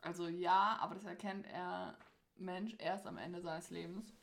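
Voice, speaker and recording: A woman's voice: on the low side, steady tone, measured pace, vocal fry. A woman chatting casually and informally as co-host, playing a question card game. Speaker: co-host of a faith podcast.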